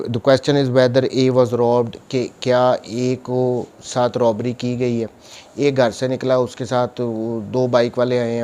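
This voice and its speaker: A man's voice: medium pitch, dark tone. A Pakistani man lecturing in an explanatory, didactic way, posing questions and answering them himself, with English legal terms mixed in. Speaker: law lecturer, advocate. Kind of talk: explanatory lecture, reading statute aloud and explaining.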